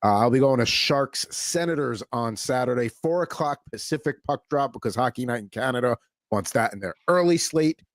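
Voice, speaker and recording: A man's voice: mid-range voice, expressive, moderate pace, no room sound, clean studio sound. An American man hosting a hockey podcast in casual chat, rambling with lots of fillers. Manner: rambling, filler-heavy, easygoing. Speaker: podcast host.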